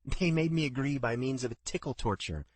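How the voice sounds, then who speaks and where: A man's voice: medium pitch, lively intonation, a little fast, dry recording, voice pushed up, natural voice. A man voicing a spirited young daughter who talks eagerly and chattily to her father in a storybook reading. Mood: playful.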